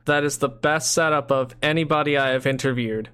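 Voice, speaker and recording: A man's voice: medium pitch, steady tone, sharp tone, clear, resonant tone. A man hosting an interview podcast, asking friendly questions and laughing along with his guest. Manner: friendly, laughs often, quick follow-up questions.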